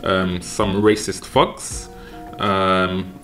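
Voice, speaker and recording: A man in his forties from London hosts a relaxed podcast interview from his kitchen. He talks haltingly, with a low voice, many ums and repeated words. Faint music sits under the desk-mic recording. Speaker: podcast host. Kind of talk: casual interview. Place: home kitchen. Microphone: desk mic on a boom arm.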